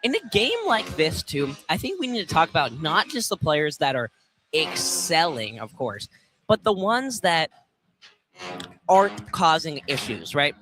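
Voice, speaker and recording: A man's voice: a little high, sing-song, measured pace, over music. A man analysing a live basketball game on radio, explaining plays in a conversational, tentative way. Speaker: color commentator for basketball. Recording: noisy live gym broadcast.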